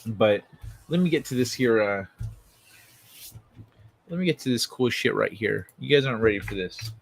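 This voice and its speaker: A man hosting a livestream in an informal, excitable, sweary way, talking casually and reading things aloud. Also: fairly low pitch, normal speed, gravelly, a little hiss or hum. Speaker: livestream host.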